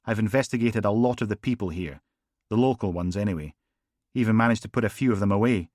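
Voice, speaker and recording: A man's voice: on the low side, quick pace, darkish tone, no room sound, clean studio sound, lightly acted. A man doing a blunt, sardonic police sergeant. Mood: defiant. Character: blunt male police sergeant, dry and defiant.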